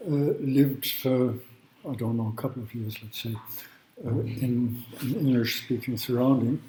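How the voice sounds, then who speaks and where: A man from Germany, a law professor giving a university lecture in a halting way, with restarts and throat clearing. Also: medium pitch, fairly even intonation, dark tone, slight background noise. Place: large classroom. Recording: echoey room.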